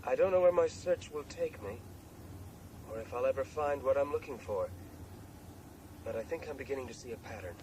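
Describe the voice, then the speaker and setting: An actor playing a soul-searching man confiding to his brother in a halting, earnest radio drama monologue. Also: medium pitch, lively intonation, darkish tone, close to an everyday voice. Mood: resolute, reflective. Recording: noisy 1980s radio drama tape.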